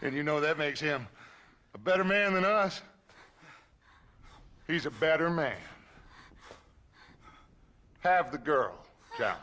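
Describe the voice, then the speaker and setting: A man's voice: a little high, animated, pushed and bright, exaggerated voice. A man playing a theatrical, mocking outlaw gang leader in a Western video game cutscene. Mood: taunting. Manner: slow, mocking, grandiose.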